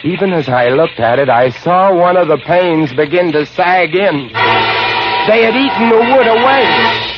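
Haunted radio horror narrator, loud music. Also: male voice, sits high, animated, unhurried. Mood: hushed, suspenseful. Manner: dramatic, intense, suspenseful. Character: haunted male lighthouse keeper recounting his horror. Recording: dull old radio recording with music. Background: dramatic music.

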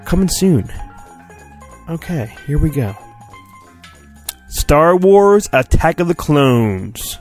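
A man's voice: fairly high pitch, very animated, takes it slowly, pauses often, faint music behind. A man hosting a podcast, giving a comic, mock-earnest dramatic storybook reading with chatty asides and his own sound effects.

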